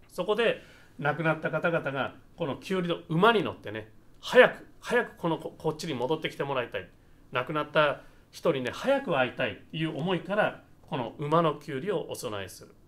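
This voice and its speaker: Male Buddhist minister from Japan, explanatory and conversational, slightly high voice with very animated pitch movement. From Nagasaki, Japan. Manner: conversational, explains step by step, often ends phrases seeking agreement. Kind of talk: explanatory Buddhist talk with photos.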